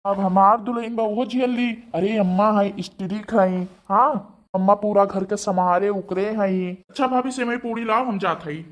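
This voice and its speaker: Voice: mid-range voice, moderate pace, natural voice. A performer voicing a young married man, dutiful to his mother, with an Awadhi accent in a family cartoon. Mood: dutiful, admonishing. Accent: Awadhi, eastern Uttar Pradesh.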